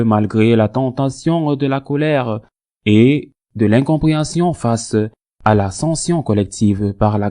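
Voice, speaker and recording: A man presenting scripted religious news and editorial commentary in a measured, formal register. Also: lowish voice, warm tone.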